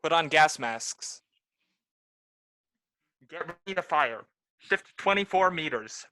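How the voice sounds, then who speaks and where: A woman's voice: sits low, expressive, slow pace, pushed and bright, exaggerated voice. A woman playing a stern artillery officer in a radio play, calling out clipped, firm commands. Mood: urgent, commanding. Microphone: video call.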